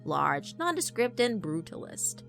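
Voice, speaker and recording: A woman narrates a first-person story in a wry, conversational, expressive way. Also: medium pitch, measured pace, creaky. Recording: background music under the voice. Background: background music.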